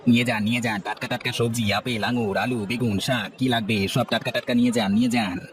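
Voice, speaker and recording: A man's voice: mid-range voice, steady tone, fast, a faint crowd, voice pushed down, close to an everyday voice. A man voicing a chatty, friendly market vegetable hawker with eager sales patter in a cartoon. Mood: hawking. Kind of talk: cartoon voice acting. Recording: music bed behind.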